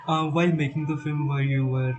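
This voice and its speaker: A young man hosting a podcast, asking halting interview questions over a video call with earphones. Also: a little high, fairly even intonation, highs cut off. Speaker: podcast host. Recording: faint background noise on a call.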